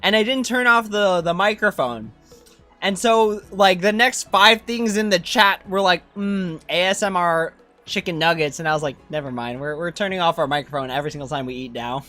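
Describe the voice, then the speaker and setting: A man's voice: sits high, normal speed, pushed and bright, faint music behind. A man chatting casually as a game streamer on a livestream.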